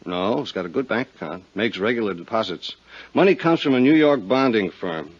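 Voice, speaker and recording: A man's voice: on the low side, expressive, a little fast, slightly rough. A man with an American accent acting as a breezy, folksy, slangy private detective in a 1950s radio drama. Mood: matter-of-fact. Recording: muffled vintage radio recording.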